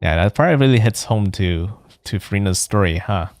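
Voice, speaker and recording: A man streaming a video game, reading the on-screen text aloud in a measured, subdued manner. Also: on the low side, animated, darkish tone, no room sound.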